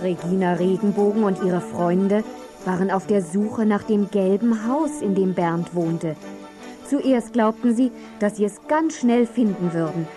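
An actress playing the storyteller of a children's audio play, reading scripted storybook narration. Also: female voice, medium pitch, a little slow, music in the background, lightly acted. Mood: narrating.

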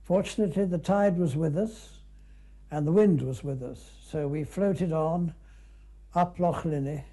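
An older British man recalls an expedition as he comments on a film. His voice is very soft-edged and dark, set a little high for a man. He talks conversationally, without a script, dropping in short musing asides. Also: unhurried.

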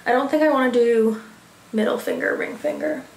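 A woman's voice: fairly high pitch, fairly quick, slight background noise. A young woman in her twenties chatting casually in a vlog, bubbly and gushing, full of "like" fillers, at home. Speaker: vlogger. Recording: echoey room with faint ambience.

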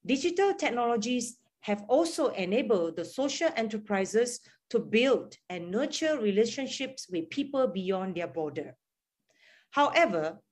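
A middle-aged woman heading a foundation, giving a measured, formal prepared speech over a webcam video call from a room at home. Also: on the high side. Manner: measured, reads formally with some restarts.

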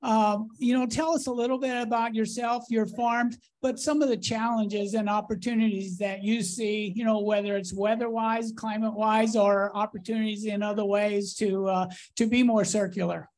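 A man moderating a conference panel, speaking with many halting restarts and repeated words. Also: sits high, little pitch movement, a little mellow, a little hiss or hum.

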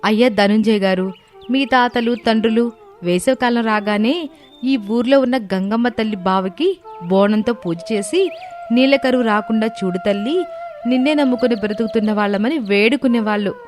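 A woman playing a bold, outspoken young village daughter-in-law, speaking in an earnest, dramatic way in an animated moral story. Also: a little high, moderate pace, soft background music, natural voice. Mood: accusing.

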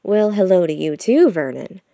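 A playful beaver office worker, played by a woman narrator. The delivery is breezy and wry, with a mellow, slightly gravelly tone suited to an audiobook. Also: on the low side, moderate pace, dry recording. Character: male beaver coworker, smirking, easygoing, playful. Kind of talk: audiobook character dialogue.

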